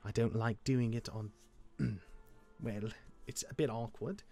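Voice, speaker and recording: A man playing a gruff, jaded demigod older brother in a Minecraft roleplay, reluctantly caring, with a halting, exasperated delivery. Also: mid-range voice, warm tone, soft background music. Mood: instructive, reluctant.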